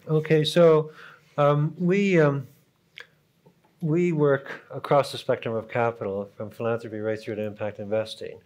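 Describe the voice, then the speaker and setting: Canadian man telling a panel story. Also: mid-range voice. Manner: relaxed storytelling with asides and soft chuckles. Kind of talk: conference panel talk, anecdotal. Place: conference hall.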